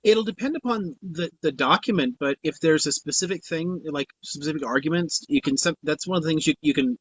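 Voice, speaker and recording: A man hosting a casual live stream, talking in halting restarts about politics. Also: fairly high pitch, a bit bright, a little hiss or hum. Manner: halting, many restarts, plain-spoken. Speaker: host. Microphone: spare phone. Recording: dull lo-fi phone stream.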